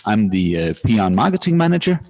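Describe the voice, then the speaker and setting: Low-voiced Danish man hosting, echoey room. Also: big pitch swings, fast talker, a little mellow. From Denmark. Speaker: webinar host, marketing manager. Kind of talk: informal webinar presentation. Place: indoors at a scout centre. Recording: echoey room, clear background noise.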